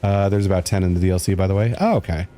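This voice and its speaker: A man's voice: sits low, fairly even intonation, racing, mellow. A man streaming a video game, chatting casually and joking with a laugh in his voice. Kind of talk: casual chat while playing a video game.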